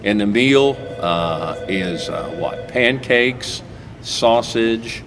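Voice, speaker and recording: A man's voice: fairly low pitch, animated, drawn-out words. A man hosting a local TV interview, talking in a rambling way with many fillers and restarts. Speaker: talk show host. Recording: noisy recording.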